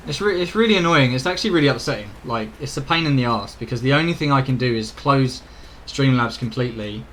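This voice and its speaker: A man's voice: medium pitch, a bit bright, slight background noise. A British man live-streaming a video game, chatting casually to viewers in an informal, rambling way with some mild swearing. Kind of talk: casual chat to viewers during gameplay. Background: faint game ambience.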